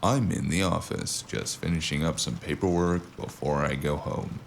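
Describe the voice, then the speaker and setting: A man playing a gravelly, sardonic noir investigator. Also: rumbling low, normal speed, a little hiss or hum. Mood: guarded, tired. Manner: sardonic, wry. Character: sardonic world-weary cyberpunk investigator, a wounded man. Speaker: narrator and lead character. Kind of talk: first-person noir audio drama narration and dialogue.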